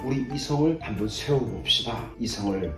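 A man's voice: lowish voice, animated, moderate pace, close to an everyday voice. An older man voicing an earnest, devout Israelite leader who pleads and exhorts solemnly in a Bible storybook tale. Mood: earnest, rallying. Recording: roomy echo, distant mic, faint music.

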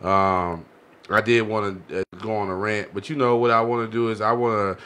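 A man's voice: lowish voice, level tone, brisk, sharp tone, smooth. An American man hosting a casual podcast, rambling along with frequent verbal tags like "you know". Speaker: podcast host. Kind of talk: casual podcast sign-off talk. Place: small indoor podcast studio. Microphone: podcast mic on boom arm, headset.

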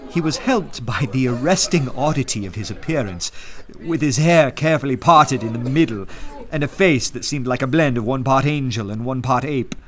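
An actor playing an earnest, respectable poet of law and order in a radio play, speaking with literary rhetoric. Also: male voice, mid-range voice, lightly acted. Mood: reflective storytelling. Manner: measured when narrating, fervent and rhetorical in debate. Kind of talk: radio drama narration and acting. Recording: music bed under the voice.

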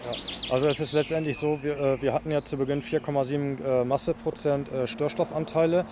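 A man in his forties giving a press interview as a manager, speaking haltingly with frequent hesitations. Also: fairly high pitch, steady tone. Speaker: waste company manager. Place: outdoors beside a garbage truck. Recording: clear outdoor background noise.

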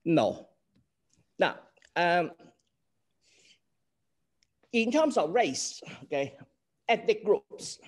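Older hong kong guy lecturing on a video call, kinda high voice, keeps saying okay?. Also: expressive, drawn-out words, pauses often. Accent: Hong Kong Cantonese. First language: Cantonese. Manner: earnest, explanatory, checks in with "okay?". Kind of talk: online class lecture.

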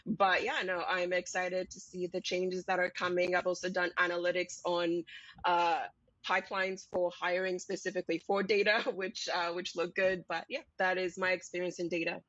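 A woman speaking as a panelist on a video call, halting and laughing often. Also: on the low side, flat delivery, a bit bright, no room sound. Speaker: panelist, data engineer. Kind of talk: casual panel talk. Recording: dull dry video call audio.

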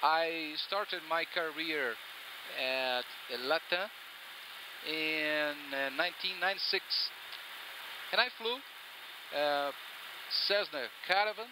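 Halting airline captain in a noisy cockpit. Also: male voice, on the high side. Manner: slow, halting self-introduction with fillers. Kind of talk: speaking to camera. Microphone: headset boom mic. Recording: noisy cockpit, engine hum.

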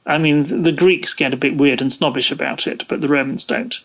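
High-pitched man explaining haltingly, dull audio. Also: fairly bright tone. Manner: halting, discursive, with false starts. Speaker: interview guest. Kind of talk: explanatory interview conversation.

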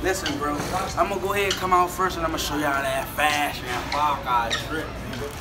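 A man's voice: a little high, steady tone, fairly quick, heavy background noise. A young man vlogging on a phone camera in a shopping mall, hyped and slangy, talking straight to viewers. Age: young adult. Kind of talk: casual vlog chat. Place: indoor shopping mall, stores. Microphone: phone camera held at arm's length. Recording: music behind, phone audio.

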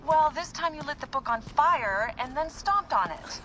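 An actress playing a brisk modern researcher woman, dry and teasing. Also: high-pitched, lively intonation, background noise.

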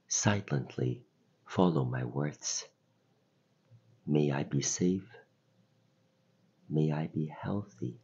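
A man with a very deep, husky voice guides a meditation at an unhurried pace. His phrasing is gentle and soothing, with pauses between instructions. The recording sounds somewhat dull. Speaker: meditation guide and podcast host.

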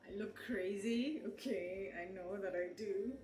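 A woman's voice: mid-range voice, slow, reverberant, faint music behind. Chatty young woman vlogging at home. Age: 20s. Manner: chatty, laughs often, animated asides to viewers. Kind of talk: casual vlog chat. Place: small apartment kitchen and bedroom indoors. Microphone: handheld camera at arm's length.

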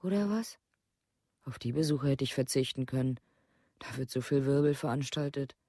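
A woman's voice: rumbling low, lively intonation, normal speed, mellow, no room sound, studio quality, exaggerated voice. An actress playing an embittered, plain-spoken village mother in a documentary radio play, blunt and repetitive. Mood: bitter, aggrieved.

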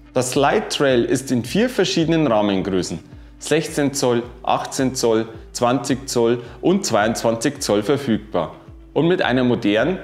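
A man in his thirties giving a scripted bike review, reading specifications in an even, informative way. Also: mid-range voice. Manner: even, informative delivery dense with specs. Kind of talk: scripted product review narration. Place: wooden-walled workshop room, indoors. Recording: roomy sound with faint music.

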